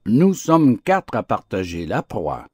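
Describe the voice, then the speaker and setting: A man's voice: fairly low pitch, wildly swooping pitch, takes it slowly, a little mellow, breathy edge, no echo, studio quality, heavily acted. A man voicing a proud, bullying lion lord in a fable, pompous and threatening in manner. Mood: imperious, threatening. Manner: imperious, pompous.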